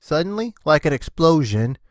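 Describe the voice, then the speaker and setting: A man's voice: a little high, big pitch swings, moderate pace, no echo, studio quality. A man giving scripted storybook narration of a cartoon fan fiction.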